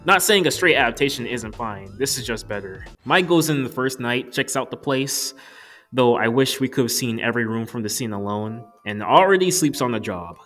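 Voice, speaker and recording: A man's voice: medium pitch, animated, normal speed, fairly bright tone. A young man giving a casual, scripted movie review commentary with a joking, casually profane manner. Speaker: video reviewer. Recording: music playing behind the voice. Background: background music.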